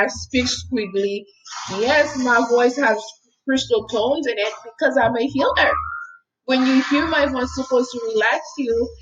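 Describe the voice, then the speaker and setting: A woman who works as a healer telling a motivational story in a casual talk, animated and repeating "okay?" often, her English a little non-native in its grammar. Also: a little high. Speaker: healer and speaker. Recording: dull lo-fi sound with faint noise.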